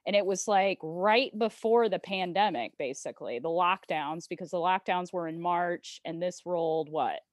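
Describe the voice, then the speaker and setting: A woman's voice: on the low side, moderate pace, few pauses, no echo, clean studio sound. A woman hosting a podcast, asking questions in a conversational interview style.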